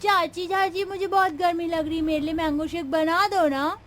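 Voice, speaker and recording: A woman's voice: very high voice, steady tone, normal speed, fairly bright tone. A woman voicing a whiny, pleading little boy in a cartoon family drama.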